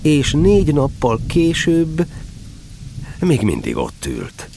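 A man's voice: on the high side, huge pitch swings, warm tone, slight background noise. A man narrating a children's story expressively, playfully drawing out phrases for effect.

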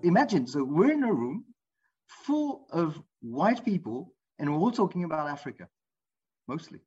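A woman playing a searching, husky monologue speaker. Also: low-pitched, big pitch swings, normal speed, a little mellow, exaggerated voice. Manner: searching, conversational, emphatic. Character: person of African descent questioning Europe's whiteness. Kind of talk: theatre monologue excerpt. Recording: dull lo-fi archive recording.

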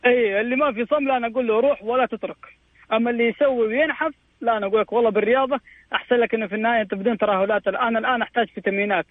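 Casting: male caller, 30s, Saudi accent, high-pitched, emphatic casual talk over a muffled phone line. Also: steady tone, rapid-fire. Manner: emphatic, repeats himself for stress. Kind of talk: casual personal chat.

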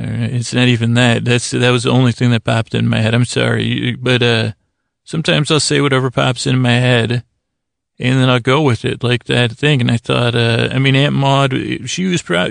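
Gravelly, meandering man lulling listeners to sleep. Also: medium pitch, no room sound, studio quality. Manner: gentle, soothing; meandering, halting, fumbling, self-interrupting. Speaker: podcast host. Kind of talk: rambling bedtime monologue to lull listeners to sleep.

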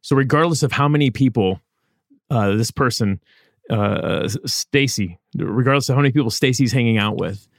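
A man's voice: medium pitch, moderate pace, creaky, no echo, clean studio sound. A middle-aged man from Ohio with an American accent, chatting casually as a podcast co-host. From Dayton, Ohio, United States. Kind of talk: casual conversational discussion. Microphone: close studio podcast mic.

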